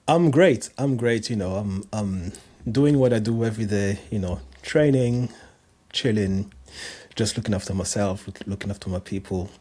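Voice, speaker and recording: French-accented man, relaxed and halting. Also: fairly low pitch, husky. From France and other countries. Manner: relaxed, halting, many restarts and fillers. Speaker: guest, personal trainer. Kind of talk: casual podcast interview.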